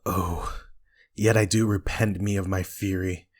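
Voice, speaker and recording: A man's voice: low-pitched, steady tone, unhurried. A man playing a brooding, guilty usurper who declaims weightily in an amateur Shakespeare reading. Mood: impassioned self-defence. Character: guilty usurper Macbeth feigning grief, brooding.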